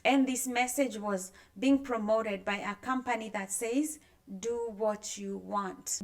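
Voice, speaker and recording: A woman's voice: mid-range voice, a bit bright. A woman from Kenya with a Kenyan accent, giving an earnest self-help talk that asks the listener direct questions. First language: Kikuyu. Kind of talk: motivational talk to viewers.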